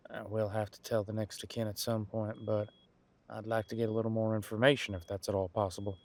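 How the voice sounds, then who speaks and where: A man's voice: lowish voice, flat delivery, fairly quick, close to an everyday voice. A man playing a casual, wry police detective in an audio roleplay, musing in a conversational, hesitant way. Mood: explaining, thoughtful.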